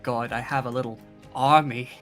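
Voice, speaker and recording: A woman's voice: extremely low pitch, fairly even intonation, normal speed, soft background music. A woman playing a tabletop roleplaying game, casually describing her character's actions and hesitantly thinking aloud.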